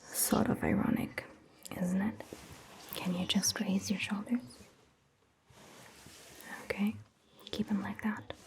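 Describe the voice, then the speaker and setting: A woman voicing a calm, attentive vampire doctor in a gentle, breathy, mostly whispered ASMR roleplay, speaking in a measured way. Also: mid-range voice. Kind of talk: soft-spoken ASMR roleplay.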